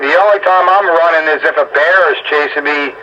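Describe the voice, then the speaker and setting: A man's voice: fairly high pitch, brisk. A man in casual, teasing group banter, heard over a radio link. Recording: muffled, very noisy radio transmission.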